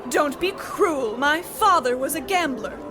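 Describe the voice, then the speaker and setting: A woman's voice: high-pitched, animated, a little slow, music in the background. A woman playing a giddy, wheedling wife with quaint melodramatic airs in an old-time comedy radio play.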